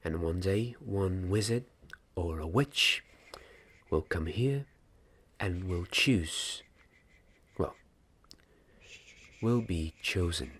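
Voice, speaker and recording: Man playing a deep, hushed, slow-talking wand maker. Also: heavily acted. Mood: calm, kindly. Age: young adult. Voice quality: soft, breathy, partly whispered. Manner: slow, hesitant, deliberate. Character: gentle, patient male wand maker mentoring an apprentice. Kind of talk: ASMR roleplay. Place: small dim indoor room. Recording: clear background noise and ambience.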